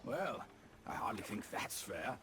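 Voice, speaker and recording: An actor voicing a cheeky, vain but good-natured pig demon in a video game cutscene, playful and protesting. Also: male voice, on the low side, sing-song, unhurried, noisy background. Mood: defensive, playful.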